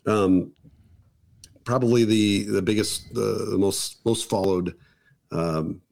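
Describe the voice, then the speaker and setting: A middle-aged man with a hoarse voice, a guest chatting casually and rambling with many fillers and restarts. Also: lowish voice, takes it slowly, a little mellow. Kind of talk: casual chat. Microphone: new microphone on a table.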